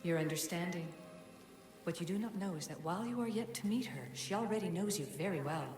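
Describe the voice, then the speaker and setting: A woman playing an ancient female spirit guide in a game cutscene, solemn, measured and cryptic, speaking formally like an oracle. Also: lowish voice, animated, close to an everyday voice. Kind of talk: dramatic game cutscene acting. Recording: echoing long reverb, music behind.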